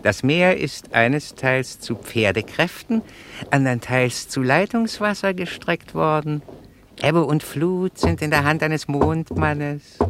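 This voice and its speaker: Smug, high-voiced lecturing finger. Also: male voice, takes it slowly, fairly bright tone, a little hiss or hum. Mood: mocking. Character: smug lecturing professor's finger, a sinister talking thing. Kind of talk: poetic radio drama.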